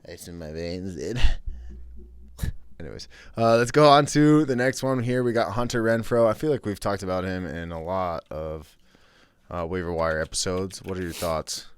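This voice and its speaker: A young man co-hosts a fantasy football podcast in a relaxed, easygoing way. His voice is very deep and clean, picked up close and dry. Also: normal speed, smooth, dry recording. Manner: laid-back, laughs easily. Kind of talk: casual podcast chat.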